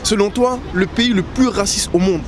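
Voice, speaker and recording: High-voiced man questioning passers-by. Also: moderate pace, a crowd in the background. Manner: short prompting questions. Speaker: street interviewer. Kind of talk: street interview. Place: city street outdoors. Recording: loud street noise.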